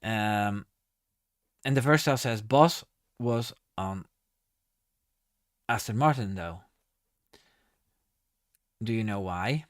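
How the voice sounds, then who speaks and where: A man's voice: lowish voice, slow pace, pauses often, no echo, studio quality. A man hosting a casual live stream, reading viewer comments aloud and answering with many ums and restarts.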